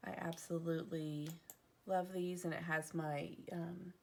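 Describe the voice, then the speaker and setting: A woman's voice: fairly low pitch, flat delivery, unhurried, a little mellow, slight background noise. A woman in her thirties, chatting casually and rambling while decorating her planner at home, a little stuffy from allergies. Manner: chatty, rambling, digresses often. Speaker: planner vlogger. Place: home, indoors.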